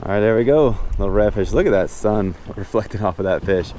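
A man's voice: lowish voice, fairly quick, warm tone. An American man giving chatty, folksy first-person fishing commentary to his viewers, recorded outdoors on a boat. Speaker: fishing vlogger. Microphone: action camera worn on body. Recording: outdoor noise on open boat.

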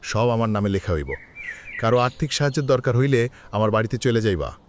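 A man's voice: medium pitch, a little fast, close to an everyday voice. Self-important village politician, acted by a man, faint noise. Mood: promising. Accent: rural Bangladeshi Bengali. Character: self-serving village politician, promising and vindictive. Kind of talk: cartoon voice acting.

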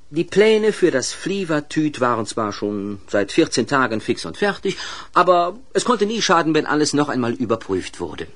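A man narrating a children's audio play in an expressive, scripted storytelling style with pointed pauses. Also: fairly high pitch.

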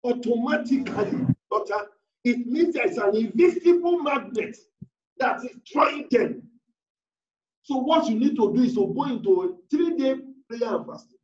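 A man's voice: very high voice, lively intonation, moderate pace. A man preaching as a pastor, giving emphatic, repetitive prayer declarations over a video call. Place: indoors, online video call. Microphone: video call device mic. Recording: roomy, distant, muffled video call.

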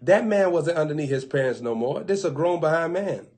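A young man preaching on a livestream in front of an open Bible, playfully acting out skeptics and cracking quick asides. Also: on the high side, expressive, darkish tone. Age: young adult. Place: indoors before a gold bokeh backdrop with an open Bible. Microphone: webcam close up.

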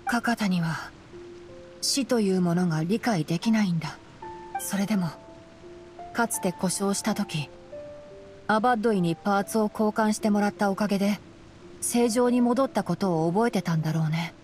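A woman voicing a calm young male fox-eared forest scholar in a Japanese anime game, explaining in a measured, boyish way. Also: medium pitch, lightly acted. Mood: thoughtful. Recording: music behind the voice.

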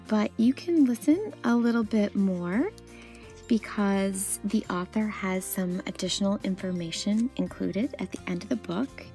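A woman storyteller reading a children's picture book aloud expressively, with deliberate phrasing and dramatic pauses. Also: medium pitch, dark tone. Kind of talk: expressive read-aloud narration.

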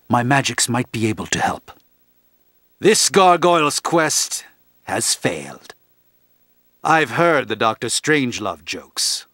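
Proud male sorcerer superhero, video game delivery, pompous, theatrical, slow-paced, rough and husky, gravelly. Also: on the high side, bright, forceful tone, dry recording, clean studio sound, full character voice. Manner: pompous, declamatory, formal diction.

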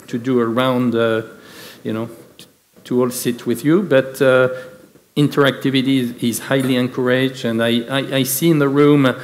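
A man's voice: mid-range voice, steady tone, moderate pace. A man moderating a conference panel, speaking with many fillers and restarts. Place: large conference hall with audience. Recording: reverberant conference hall.

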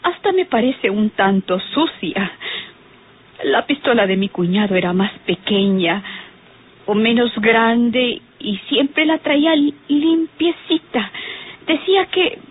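An actress playing an earnest, formally polite woman giving testimony in an old radio drama, husky and deliberate, on a tinny recording. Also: fairly high pitch, expressive, full character voice. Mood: assured, formal. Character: earnest unmarried sister-in-law giving testimony to a police inspector. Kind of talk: old Spanish-language radionovela acting.

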